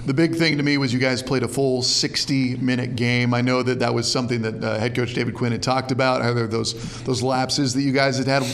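A man's voice: mid-range voice, little pitch movement, a little fast, vocal fry, hardly any pauses. A man hosting a sports show, interviewing in a conversational, enthusiastic way.

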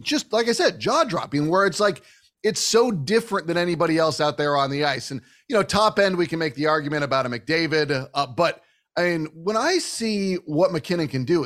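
A man's voice: a little high, fairly bright tone. A man hosting a conversational sports interview, speaking with enthusiasm and emphasis. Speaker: sports show host.

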